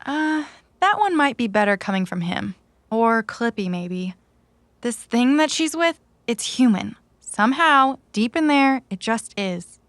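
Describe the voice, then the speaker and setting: Earnest, higher-voiced young runner. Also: female voice, lively intonation, measured pace, a bit bright, no echo. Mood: earnest, uneasy. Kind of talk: audio drama acting.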